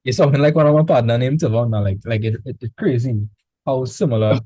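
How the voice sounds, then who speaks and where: A man with a Trinidadian accent tutoring a student in math over a video call, relaxed and chatty. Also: mid-range voice, fast, husky, no echo. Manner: relaxed, chatty, repeats words when starting. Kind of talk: casual online tutoring session.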